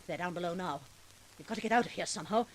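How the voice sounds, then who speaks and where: A woman's voice: fairly low pitch, racing, natural voice, low-quality recording. An actress playing a scheming, domineering woman in an urgent, melodramatic 1940s radio drama. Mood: commanding, urgent. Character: scheming, domineering woman turned terrified victim. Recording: hissy vintage 1940s radio recording.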